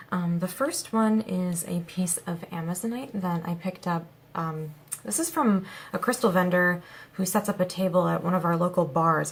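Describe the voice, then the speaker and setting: A young woman, hoarse from a cold, chatting in a rambling, relaxed way as she hosts a haul vlog. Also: lowish voice, a little hiss or hum. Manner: rambling, lots of ums and likes. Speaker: vlog host.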